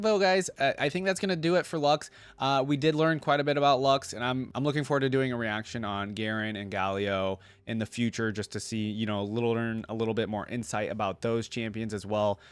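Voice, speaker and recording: Male reaction host, lower register, conversational and loosely unscripted, recorded dry. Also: dry recording. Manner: rambling, conversational. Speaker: video game reaction host. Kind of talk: casual unscripted commentary to viewers.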